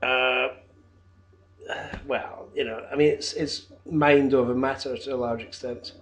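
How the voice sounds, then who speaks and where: Slow, halting man in his fifties. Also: mid-range voice, fairly even intonation, a little hiss or hum. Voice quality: loud voice. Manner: hesitant, with frequent ums and uhs. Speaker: teacher applying for a job. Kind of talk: unscripted video application monologue. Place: his own flat, indoors.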